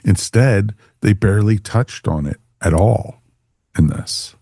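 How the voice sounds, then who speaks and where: Guy with a lowish voice chatting away on a review podcast. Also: slow, creaky, warm tone, dry recording, clean studio sound. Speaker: podcast panelist. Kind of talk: casual review chat.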